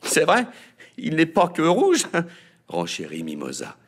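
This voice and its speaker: Man doing a cheeky boy flower, teasing, raised pitch, husky. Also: wildly swooping pitch, unhurried, heavily acted. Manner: mocking and chattery.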